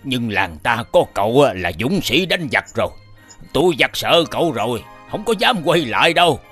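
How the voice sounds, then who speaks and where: Old village elder in a cartoon, tired n cautious, voice rises and falls a lot. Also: male voice, mid-range voice, sing-song, normal speed, a bit bright, faint music behind. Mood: weary, doubtful. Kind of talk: animated fairy-tale voice acting.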